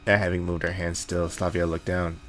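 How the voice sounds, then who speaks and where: A man's voice: deep voice, flat delivery, brisk. A man reading a visual novel aloud in a jokey way, with casual asides and the odd slip. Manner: jokey, slips and corrects himself while reading. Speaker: gaming video host. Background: background music.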